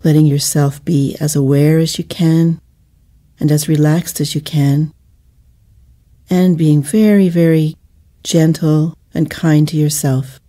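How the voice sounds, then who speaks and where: Female meditation guide, deeply mellow and relaxed timbre, soothing and measured, leaving gentle pauses.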